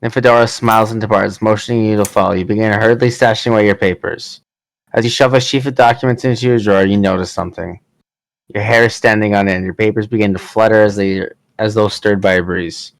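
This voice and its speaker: A man's voice: fairly low pitch, steady tone, fast talker, no room sound, rough recording. A man reading scripted fantasy story narration aloud as a player in a party game.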